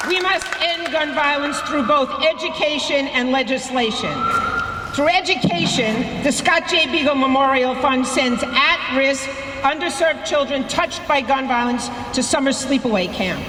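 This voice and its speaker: Earnest middle-aged woman at a rally. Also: high-pitched. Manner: measured, earnest, emphatic. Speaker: rally speaker. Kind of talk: prepared rally speech. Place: outdoor rally in front of a courthouse. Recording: echoey public address outdoors, crowd noise.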